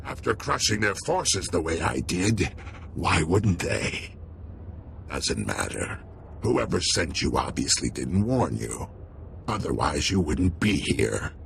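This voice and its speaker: An actor playing a proud, menacing alien ex-soldier villain in a video game, gravelly, taunting and boastful. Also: male voice, low voice, sing-song, slow pace, fairly bright tone, slight background noise, heavily acted. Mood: proud menace.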